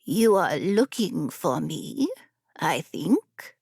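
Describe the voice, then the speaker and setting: A woman voicing a tall, ancient female genie in a fantasy audiobook, sly and predatory, with a throaty, breathy purr and drawn-out, teasing delivery. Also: sits low, very animated, a little mellow, no echo, clean studio sound, pitched below the performer's own voice, exaggerated voice.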